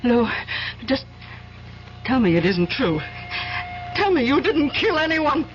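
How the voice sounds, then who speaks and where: A woman's voice: high-pitched, sing-song, takes it slowly, exaggerated voice. An actress playing the frightened, defensive wife of a jealous man, pleading and exasperated, in 1970s radio drama style. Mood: horrified, pleading. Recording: muffled, noisy old radio broadcast.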